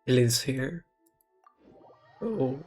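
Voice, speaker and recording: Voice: mid-range voice, lively intonation, drawn-out words, a little mellow, slightly rough, soft background music. A game streamer muttering casual, offhand reactions to themselves during a playthrough. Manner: offhand, sparse, self-talk.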